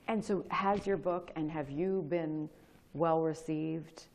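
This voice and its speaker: A woman in her fifties with a deep voice asks questions on a small stage before a live audience. Her tone is curious and conversational, and she often interjects into the guest's answers. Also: fairly even intonation, takes it slowly, a little mellow.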